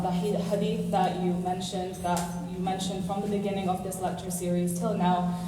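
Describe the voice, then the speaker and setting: Woman, audience questioner, earnest and rambling, distant and echoey, with noise. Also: medium pitch. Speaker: audience member asking a question. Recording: distant echoey hall mic, noisy.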